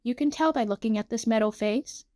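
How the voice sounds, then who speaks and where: A sarcastic robot that talks in a flat, deadpan way, as a woman puts it on. The voice is high, and the recording is dry and close. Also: brisk, dry recording, lightly acted.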